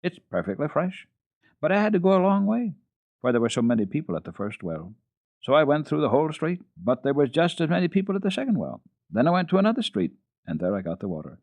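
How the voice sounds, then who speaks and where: A man's voice: fairly high pitch, very animated, fast, darkish tone, no room sound, voice pushed up. A man voicing a cheerful, eager young girl who chatters earnestly in a dramatic children's storybook reading.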